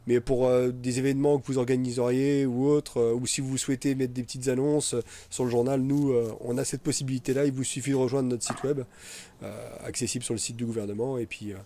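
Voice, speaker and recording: A man role-playing a courteous game-world reporter with a mellow, soft-edged voice. He speaks quickly and politely, eager to please, stumbling a little over formal phrases. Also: medium pitch, little pitch movement, slight background noise, natural voice. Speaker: interviewer. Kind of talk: improvised role-play interview.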